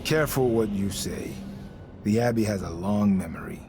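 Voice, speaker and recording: An actor playing a stern, ambitious vice overseer of a religious order in a video game, measured and politely menacing. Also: male voice, fairly low pitch, lively intonation, noisy background. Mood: warning. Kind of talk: dramatic video game dialogue.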